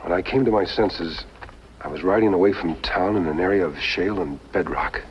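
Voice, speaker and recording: Deep-voiced, earnest masked western hero played by a man. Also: natural voice. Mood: grave. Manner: measured, deliberate delivery. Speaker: lead actor in a western series. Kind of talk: 1950s television western acting. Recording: muffled, noisy 1950s film soundtrack.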